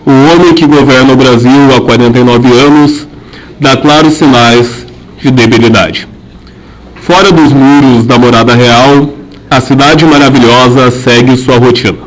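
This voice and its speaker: A man narrating an amateur historical radio drama, telling it in a measured and solemn way. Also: mid-range voice, rough recording.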